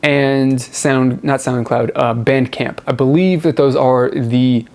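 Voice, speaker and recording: A man's voice: mid-range voice, steady tone, takes it slowly, vocal fry, darkish tone. A man hosting a music review vlog, talking casually and enthusiastically in a rambling, informal way, often repeating words for emphasis. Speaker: host of a music review show.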